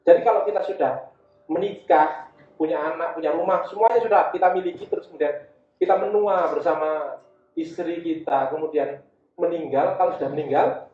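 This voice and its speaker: Echoey, distant, higher-voiced East Javanese man. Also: normal speed, dark tone. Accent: Javanese Indonesian, East Java. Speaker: guest speaker, community education founder. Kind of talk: informal talk with personal anecdotes. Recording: dull, echoey, distant from the mic.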